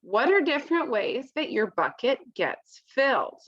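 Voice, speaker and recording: A woman reading a picture book aloud to children, expressively, pausing to explain it in simple words. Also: high-pitched, big pitch swings, a bit bright, husky, lo-fi sound.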